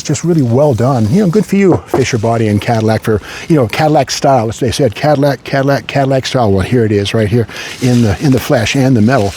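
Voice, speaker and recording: A man's voice: mid-range voice, darkish tone, a little hiss or hum. A man hosting an unscripted walkaround car commentary in a rambling, repetitive way with wry asides. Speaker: car show host.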